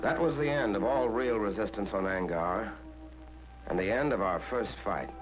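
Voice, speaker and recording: A man's voice: on the low side, normal speed, close to an everyday voice. A man playing a plain-spoken American infantryman, narrating a 1940s war documentary in colloquial language. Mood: grim pride. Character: plain-spoken American infantryman recounting his first battle. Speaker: film narrator. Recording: dull 1940s film soundtrack, music behind. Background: loud music, artillery fire.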